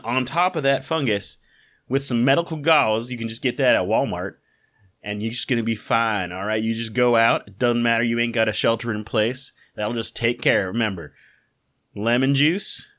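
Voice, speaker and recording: A man's voice: medium pitch, sharp tone, lightly acted. A man playing a folksy, colloquial American conspiracy-peddling podcast host, ranting and hawking quack cures. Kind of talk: satirical podcast rant.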